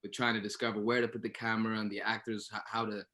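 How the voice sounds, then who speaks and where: A man, an actor, talking casually in a panel discussion, halting and repeating words as he goes. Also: on the low side, flat delivery, pushed and bright.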